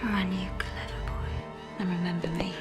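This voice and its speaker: Teasing enigmatic stranger played by a woman, animated intonation, audio drama, music underneath. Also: medium pitch, normal speed. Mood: playful, knowing. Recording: clear music behind.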